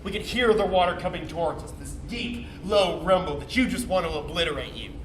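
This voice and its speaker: A man acting a cocky, bitter little sperm cell with a high, bright voice. He talks like a swearing young bro, slangy and dramatic, confiding a stage monologue. The sound is roomy and distant, as from a theatre stage. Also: expressive, brisk. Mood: excited, awed. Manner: foul-mouthed, slangy, defensive, dramatic. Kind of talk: theatrical comic monologue, confessional storytelling to audience. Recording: roomy, distant stage recording with faint noise.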